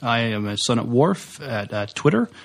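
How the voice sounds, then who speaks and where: A man's voice: mid-range voice, sing-song, normal speed, vocal fry. A man co-hosting a podcast in casual banter, giving brief, dry, agreeable replies. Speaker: podcast co-host.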